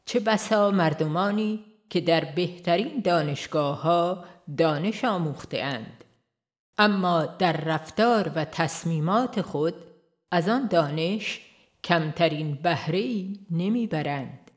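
A narrator reading a religious audiobook in a formal, measured and solemn manner. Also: medium pitch. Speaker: audiobook reader.

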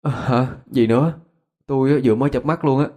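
A man's voice: mid-range voice, fairly quick, dark tone, voice pushed up, lightly acted. A man playing a young male student in a ghost story, a concerned friend who talks colloquially and hesitantly. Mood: groggy.

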